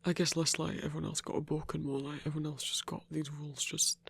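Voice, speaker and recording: A man playing a shy, gentle lone werewolf hunter in an intimate audio roleplay, speaking haltingly with stammers in a breathy voice. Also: a little high, little pitch movement, full character voice. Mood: hesitant, awkward. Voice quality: breathy, husky.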